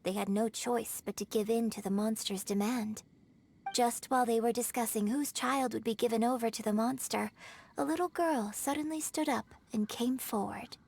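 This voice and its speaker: A woman playing a poised, eloquent young opera performer in a video game, telling things in a measured, theatrical way. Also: fairly high pitch, steady tone, creaky, a little mellow, a little hiss or hum. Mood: dramatic storytelling. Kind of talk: video game character acting. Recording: background music.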